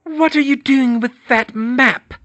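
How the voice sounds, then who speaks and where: A man voicing a cruel, manic witch woman who hisses and shrieks in a frantic, shrill way. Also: takes it slowly, a bit bright, no echo, dull audio, heavily acted.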